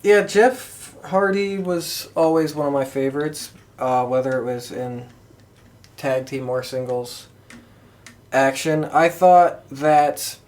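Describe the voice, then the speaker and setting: A man hosting a podcast in casual conversation, with a rambling, halting delivery. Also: a little high, darkish tone. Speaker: podcast host. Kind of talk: casual chat.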